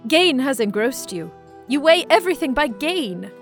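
Sorrowful young sweetheart, higher voice. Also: female voice, sing-song, faint music behind. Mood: sorrowful, resolute. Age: young adult. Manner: earnest, deliberate. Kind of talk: audio play acting.

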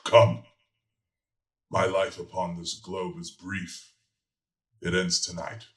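An actor playing a grave, menacing, dying Christmas spirit with ominous, drawn-out delivery in an audio drama. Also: male voice, deep voice, flat delivery, echoey room, distant mic. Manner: slow, ominous, drawn-out pronouncements.

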